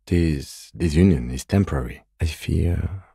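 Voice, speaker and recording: A man playing a composed, by-the-book police detective in a video game, speaking in a measured, reserved, matter-of-fact way. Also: extremely low pitch, gravelly, dark tone, breathy edge, no echo, studio quality, exaggerated voice. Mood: composed, dry. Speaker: voice actor. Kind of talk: video game character dialogue.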